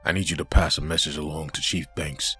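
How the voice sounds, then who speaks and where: A man playing a menacing undead antihero in a comic book audio drama, making slow, commanding threats. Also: rumbling low, steady tone, pushed and bright, slightly rough, no room sound, soft background music, exaggerated voice. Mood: cold menace.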